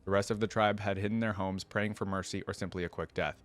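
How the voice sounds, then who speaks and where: A man's voice: fairly low pitch, steady tone, fast talker. A man hosting a reaction video, reading a fantasy story aloud and adding casual, conversational commentary with asides and questions.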